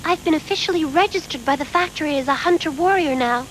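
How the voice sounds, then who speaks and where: A woman's voice: high voice, fast, fairly bright tone, slight background noise, low-quality recording. A woman voicing a curious, determined young cyborg girl in an anime dub on a worn tape. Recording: worn VHS dub, faint music.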